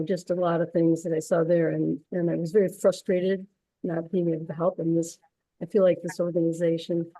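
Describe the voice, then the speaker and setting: A woman's voice: on the low side, mellow, no echo, rough recording. A woman from upstate New York introducing herself, earnest and rambling, with restarts. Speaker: animal communicator. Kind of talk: casual self-introduction.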